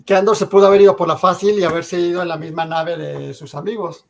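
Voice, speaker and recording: Man, gravelly voice, slightly high pitch, explaining scenes, video-call fan chat. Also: fairly even intonation, fast.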